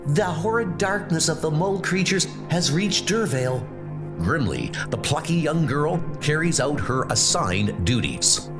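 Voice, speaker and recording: A man's voice: on the high side, very animated, a little slow, over music. A man narrating a comic-book story in a theatrical, emphatic, scripted style.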